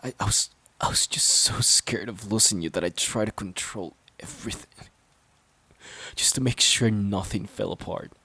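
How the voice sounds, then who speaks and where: A man's voice: fairly low pitch, moderate pace, bright, forceful tone, slightly rough, no room sound, exaggerated voice. A man playing a wounded, devoted boyfriend who pleads hesitantly and earnestly in an intimate audio drama. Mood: regretful, vulnerable. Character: wounded, devoted boyfriend desperate to save relationship.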